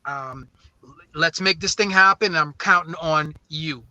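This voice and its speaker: Bright, forceful man, earnest interview guest. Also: on the high side, measured pace, dry recording, low-quality recording. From born in St. Croix, raised in New Orleans. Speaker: guest, recording artist and label co-founder. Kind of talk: conversational interview, storytelling.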